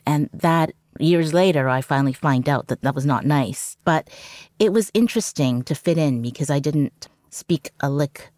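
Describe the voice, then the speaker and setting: Middle-aged Canadian woman, Cantonese first language; low, dry and reflective. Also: darkish tone, no room sound. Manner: reflective, frequent "you know". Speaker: journalist guest. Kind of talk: interview conversation.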